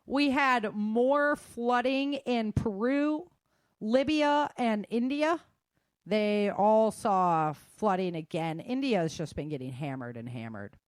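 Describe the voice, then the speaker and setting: A woman's voice: medium pitch, animated, takes it slowly, dry recording. A woman hosting a show, running through news in an informal, slangy way with chatty asides. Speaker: host of a survival news show.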